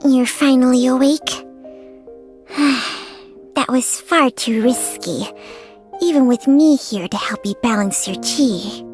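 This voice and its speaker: A woman's voice: sits high, slow pace, long pauses, a little mellow, soft background music. A woman voicing a stern, wry talking snake companion in a video game, with a dry, knowing, scolding manner. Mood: scolding, concerned.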